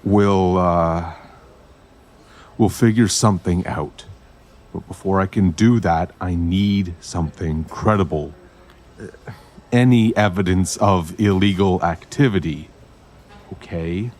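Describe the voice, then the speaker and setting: Noisy ambient recording, guarded investigator man, deep, slow, deliberate, audio drama. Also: a little mellow. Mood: reassuring, businesslike. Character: steady, guarded male investigator protecting an informant.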